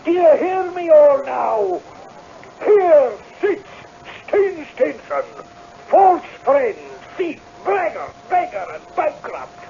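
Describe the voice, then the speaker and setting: A belligerent pub keeper from an old radio play, very high yet gravelly. He scorns and accuses slowly in a muffled vintage recording. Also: very animated, heavily acted. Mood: scornful, accusing. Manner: loud, scornful, drawn-out denouncing. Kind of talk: old-time radio drama acting. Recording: dull, faintly noisy vintage radio recording.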